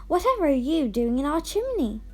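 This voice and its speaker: A female reader voicing a polite, wistful, put-upon teenage girl in a storybook, gentle and plaintive. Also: high voice, big pitch swings, brisk, faint music behind. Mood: puzzled. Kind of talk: storybook character voice.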